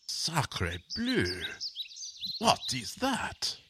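Slow, swooping, bewildered French painter. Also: male voice, on the high side, huge pitch swings, background noise, full character voice. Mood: astonished. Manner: halting, exclamatory, peppered with French. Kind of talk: old-time radio comedy acting.

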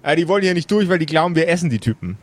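A man acts out a brash necromancer who runs his undead underworld like a grumbling small businessman. His voice sits fairly high for a man. He rambles in coarse slang and repeats himself as he hunts for words in an improvised fantasy audio play. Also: lively intonation, very fast. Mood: exasperated.

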